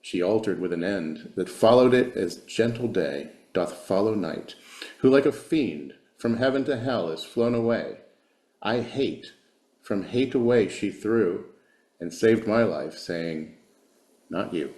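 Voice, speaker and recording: A man introduces himself, then reads a sonnet slowly and deliberately. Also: on the low side, animated, darkish tone. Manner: slow, deliberate reading. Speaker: poetry reader. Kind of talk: sonnet recitation. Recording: roomy echo.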